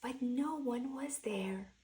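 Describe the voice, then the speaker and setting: A woman's voice: sits high, takes it slowly, warm tone. A woman reading a children's bedtime story aloud, expressively and dramatically, as if to young listeners. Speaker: children's book reader.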